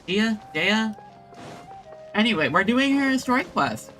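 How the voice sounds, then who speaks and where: A streamer giving casual, chatty, expressive commentary while playing a video game. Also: medium pitch, slow pace. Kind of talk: casual let's-play commentary. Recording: soft game music behind.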